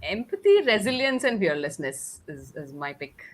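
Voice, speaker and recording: A woman's voice: lowish voice, big pitch swings, normal speed. A woman speaking as a panelist in a conversation, emphatic and repeating her phrases to make the point. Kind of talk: conversational discussion.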